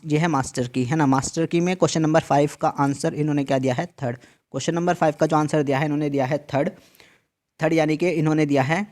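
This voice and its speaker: Male tutor, higher-than-usual voice, patient repeating explainer doing a screen walkthrough. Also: darkish tone. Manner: repetitive, emphatic instructions with frequent "theek hai" check-ins. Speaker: exam tutor.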